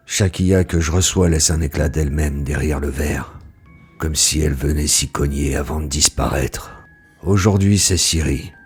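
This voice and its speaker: A very deep, brooding male narrator, played by a man who was human only for seconds. He speaks in a slow, pensive way over faint music. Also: darkish tone, breathy edge, full character voice. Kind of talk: scripted audio drama narration.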